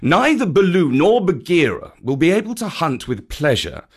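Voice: mid-range voice, sing-song, moderate pace, breathy edge, pitched above the performer's own voice. A narrator voicing a grave, stern panther. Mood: reproachful.

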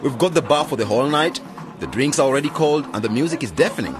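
A man's voice: medium pitch, lively intonation, fast, music in the background, lightly acted. An actor playing an earnest, modest young man who works with a village cooperative in a radio drama. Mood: upbeat, reassuring.

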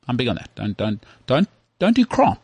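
A man's voice: medium pitch, wildly swooping pitch, normal speed, darkish tone, husky, no echo. A man co-hosting a podcast in casual chat, teasing and joking with his partner. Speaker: podcast co-host.

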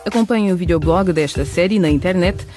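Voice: medium pitch, fairly quick, darkish tone. An announcer reading a scripted programme outro in a presentational manner. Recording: music bed behind voice.

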